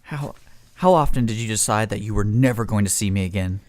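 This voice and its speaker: A man playing an ardent, insistent 1940s lover in an amateur radio play reading, slow and pleading. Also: fairly low pitch, darkish tone, no room sound, close to an everyday voice. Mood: earnest, pressing.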